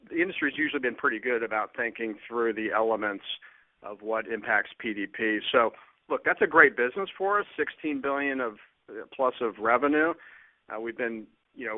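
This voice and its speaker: A man, a company finance executive, answering plainly in halting phrases on an earnings call. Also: medium pitch. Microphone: conference call line. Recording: narrow phone-line sound.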